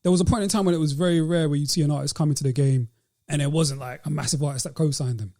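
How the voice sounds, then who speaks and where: A man's voice: a little high, fairly even intonation, rapid-fire, warm tone. A man with a British accent co-hosting a podcast, giving his opinions in casual conversation. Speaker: podcast co-host.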